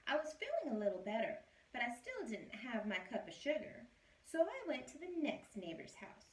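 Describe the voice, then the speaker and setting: Woman reading as a sulky storybook wolf, chatty and wry, lively high voice, echoey room, mic set back. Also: normal speed, a little hiss or hum. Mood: aggrieved, chatty. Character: self-pitying male wolf telling his side. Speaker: teacher reading aloud. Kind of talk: storybook read-aloud. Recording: roomy echo, distant mic.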